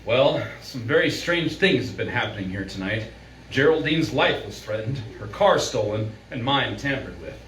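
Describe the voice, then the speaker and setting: A man's voice: mid-range voice, lively intonation, moderate pace, a bit bright, lightly acted. A man playing an earnest, commanding doctor in a live old-time radio drama, speaking with urgent emphasis. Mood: grave insistence. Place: live venue performance, picked up from a distance. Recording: distant, echoey room with faint noise.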